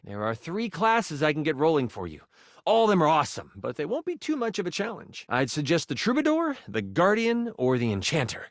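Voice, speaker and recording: An eager, nerdy video game character, a living die who runs adventures with theatrical flourish. The voice sits fairly high and swings widely in pitch, captured dry and close. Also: male voice, no echo. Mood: encouraging, upbeat. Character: Chance, excitable geeky living twenty-sided die, eager game master.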